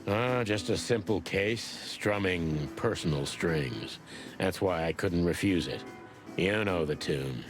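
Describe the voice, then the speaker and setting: Very low, deadpan noir detective. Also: male voice, takes it slowly. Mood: world-weary. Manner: deadpan, laconic, hardboiled. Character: world-weary, wry rooster private detective, male. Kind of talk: film-noir video game acting. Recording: music under the voice.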